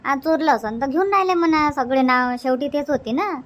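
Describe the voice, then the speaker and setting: Cartoon village auntie played by a woman, high voice, Vidarbha Marathi accent, suspicious gossip with emphatic questioning. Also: fairly quick, lightly acted. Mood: gossipy, suspicious. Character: gossipy village aunt, suspicious, chatty older relative. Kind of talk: cartoon comedy dubbing.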